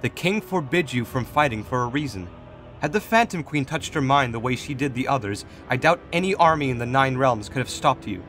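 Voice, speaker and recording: A man playing a composed, calculating god in authority, speaking in a measured, formal, diplomatic way in a fantasy audio drama. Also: mid-range voice, animated, a bit bright, soft background music, close to an everyday voice. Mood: authoritative, pragmatic. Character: Raphael, composed, calculating male god in authority, gently chiding.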